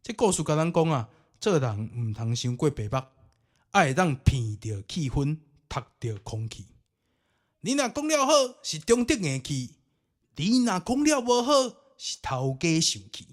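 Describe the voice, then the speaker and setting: Animated Taiwanese man telling stories. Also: fairly high pitch, a little slow. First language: Taiwanese Hokkien. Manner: theatrical, colloquial. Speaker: podcast host and storyteller. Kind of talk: dramatic ghost-story narration with commentary.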